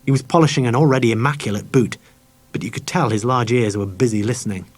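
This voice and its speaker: A man's voice: medium pitch, fast talker. A man narrating a children's novel as a scripted audiobook.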